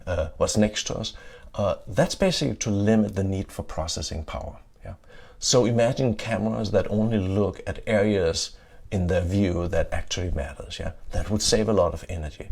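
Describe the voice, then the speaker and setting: A middle-aged man with a Danish accent giving a conference talk. He often checks in with a questioning "yeah?" and pauses on "uh" fillers. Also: fairly low pitch, vocal fry, a little mellow. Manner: adds "yeah?" to check in with listeners; frequent "uh" fillers and restarts. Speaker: conference speaker. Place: conference stage.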